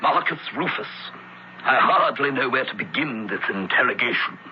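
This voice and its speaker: An actor playing a stern, formal Roman senator who sits as judge, speaking deliberately in an old-time radio drama. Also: male voice, medium pitch, lively intonation, close to an everyday voice. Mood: stern, accusing. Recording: muffled, hissy old radio recording.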